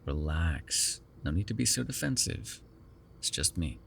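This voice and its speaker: Male actor as sardonic male vampire, prickly yet caring, teasing, ASMR roleplay, bright voice, animated intonation. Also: very deep voice, a little slow, creaky, a little hiss or hum, exaggerated voice. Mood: soothing, hypnotic. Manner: teasing, sarcastic, breaking into exasperation and soothing murmurs.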